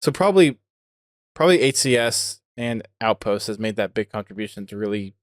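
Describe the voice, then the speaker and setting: A man's voice: lowish voice, expressive, a little fast, no room sound, studio quality. A man hosting a podcast in casual conversation, thinking aloud as he talks. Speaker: podcast host.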